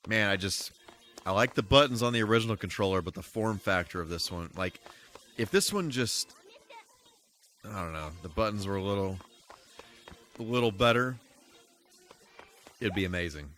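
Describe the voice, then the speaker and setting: A man with a fairly low, very bright voice chats casually as a live streamer. He rambles conversationally, with music playing behind him. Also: expressive, normal speed, pauses often, a little hiss or hum.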